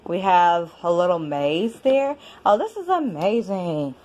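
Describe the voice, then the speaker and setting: A woman reading a children's picture book aloud at home in an expressive, deliberate storybook manner. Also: on the low side, lo-fi sound. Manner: measured, deliberate storybook delivery. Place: indoors at home.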